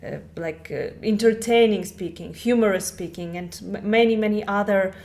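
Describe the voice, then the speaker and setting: A woman speaks English as a guest on a podcast. She explains things carefully and at a measured pace, in a voice of middle pitch. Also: darkish tone. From Russia. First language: Russian. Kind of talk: podcast interview.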